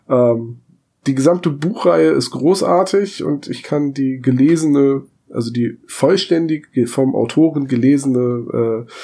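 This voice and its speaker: Casting note: young man with a blocked nose, husky and mellow, dry self-mocking co-host. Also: medium pitch. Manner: wry, self-mocking humor. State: stuffy nose from a cold. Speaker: podcast co-host. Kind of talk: casual podcast chat.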